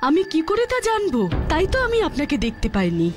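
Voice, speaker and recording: A young cartoon princess, dutiful and courteous. Her high voice is earnest and formal in a dramatic way, with music behind it. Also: huge pitch swings, fast talker. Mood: apologetic. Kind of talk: cartoon voice acting.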